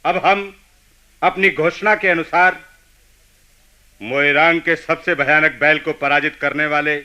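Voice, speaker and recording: A man's voice: fairly high pitch, steady tone, measured pace, pushed and bright. An actor playing a proud, stately king in a Hindi radio play, with formal, declamatory delivery. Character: proud, stately king making a royal proclamation.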